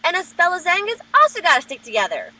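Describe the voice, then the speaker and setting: Loud, high-pitched woman ranting. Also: wildly swooping pitch, fast talker, low-quality recording. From New Jersey. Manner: loud, profane, heated ranting. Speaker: vlogger. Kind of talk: webcam rant. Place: at work. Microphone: webcam.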